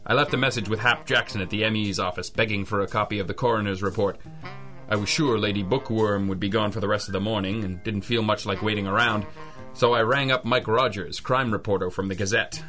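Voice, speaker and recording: A man's voice: lowish voice, bright, forceful tone. Hard-edged, sardonic male private eye. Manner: sardonic, clipped. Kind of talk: old-school radio detective narration and dialogue. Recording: music under the voice.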